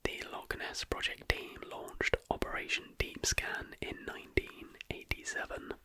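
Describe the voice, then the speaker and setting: An ASMR host reading a script in a soft whisper, with careful, deliberate phrasing. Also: no echo.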